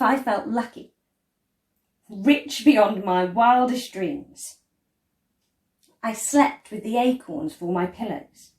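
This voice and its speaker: A woman reads a children's story aloud expressively, with deliberate phrasing and dramatic pauses. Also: fairly high pitch, darkish tone. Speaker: storyteller reading a picture book. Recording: roomy, echoey indoor sound.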